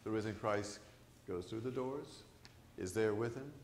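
Older man, priest, lively conversational sermon, booming church echo, faint room hum. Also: measured pace. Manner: animated, gesturing, conversational preaching with questions to the congregation. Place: large church sanctuary. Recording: echoey church sanctuary.

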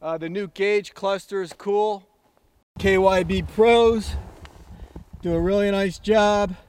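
A man's voice: high-pitched, fairly even intonation, takes it slowly, a little mellow, clear, resonant tone. A man giving an enthusiastic, plainspoken review outdoors on a snowy farm, talking casually and a bit rambling. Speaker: snowmobile reviewer.

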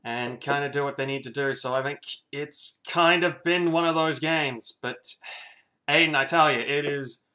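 Bright-voiced excitable male commentator. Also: fairly high pitch, normal speed. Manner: excitable, calls plays and shouts at big moments. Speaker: play-by-play commentator. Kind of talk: sports commentary on a video game match.

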